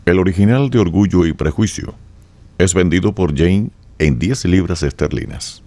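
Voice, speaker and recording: A man's voice: sits low, moderate pace, dark tone. A man narrating a radio drama from a script, in a formal storytelling style.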